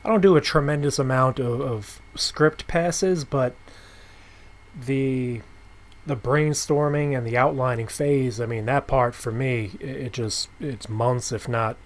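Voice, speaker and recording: A man's voice: mid-range voice, a little slow. Man chatting thoughtfully in an interview. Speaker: podcast host and comic writer. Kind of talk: casual interview chat.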